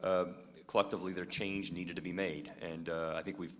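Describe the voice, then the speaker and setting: A man speaking as a university official at a press conference, halting and full of fillers. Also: deep voice, flat delivery. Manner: halting, many fillers and restarts. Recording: long echoey hall reverb.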